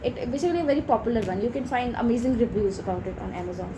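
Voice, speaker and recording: A woman's voice: a little high, lively intonation, rapid-fire. A woman chatting casually and enthusiastically to viewers as a book review vlogger, gushing as she goes. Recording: clear background noise.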